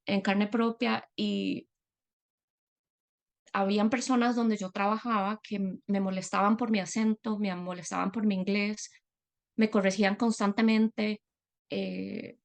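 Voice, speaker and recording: Gravelly-voiced woman sharing her story. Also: medium pitch. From Latin America. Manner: reflective, earnest, many hesitation fillers. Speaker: guest speaker, psychologist. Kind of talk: personal testimony talk.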